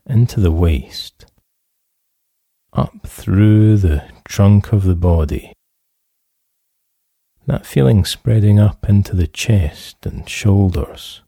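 A man leading a guided relaxation as a therapist, calm and soothing, with measured instructions and pauses. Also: low-pitched, no room sound, clean studio sound.

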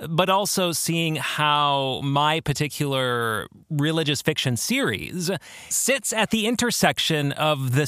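A man with a fairly high voice hosts an explanatory podcast talk. His pitch moves in very lively swings as he stresses points in an earnest, conversational manner. The sound is close and dry. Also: no room sound. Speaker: podcast host.

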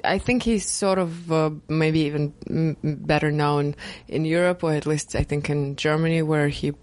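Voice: mid-range voice, steady tone, moderate pace, a little mellow, dry recording. A podcast host, speaking hesitantly with many fillers and restarts. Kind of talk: casual podcast interview.

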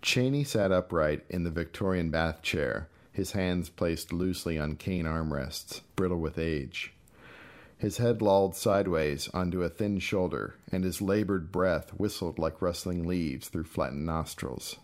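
A man's voice: low voice, a little slow. A man narrating a literary short story as its reader.

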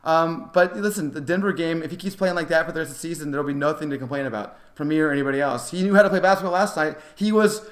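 A man's voice: a little high, fast, hardly any pauses. A man co-hosting a sports podcast, talking casually about basketball in a rambling, emphatic, self-correcting way.

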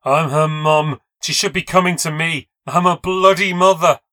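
A man voicing a furious, grieving old woman, stern and shouting. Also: on the low side, steady tone, unhurried. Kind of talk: audiobook character voice.

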